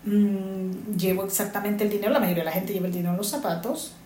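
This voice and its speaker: A woman's voice: medium pitch, fast, mellow. A woman from Honduras, earnestly telling her own story as an interviewee and repeating points for emphasis. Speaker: interviewee, migrant mother. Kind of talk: earnest personal testimony. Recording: echoey room with faint ambience.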